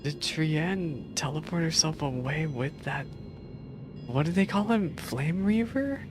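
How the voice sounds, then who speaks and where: A woman reacts casually to a game as a streamer. Her voice is notably low, and she muses aloud with amusement. Game music is clearly heard behind her. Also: animated, slow.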